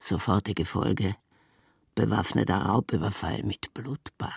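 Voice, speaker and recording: An actor playing a grim proclaimer of a lawless city's rules in a radio drama, gravelly, with clipped, ominous phrases. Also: male voice, fairly low pitch, measured pace, dry recording, clean studio sound, full character voice.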